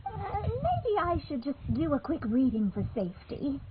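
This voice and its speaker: A woman playing a proud, easily annoyed young astrologer mage in a video game, with an expressive, huffy manner. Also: sits high, normal speed, noisy background. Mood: flustered. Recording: thin phone-like sound with music behind.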